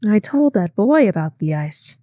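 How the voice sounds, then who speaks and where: A woman's voice: medium pitch, very animated, normal speed, mellow, dry recording, clean studio sound. A woman reading aloud, voicing a showy, affected young hostess with a raised, haughty voice.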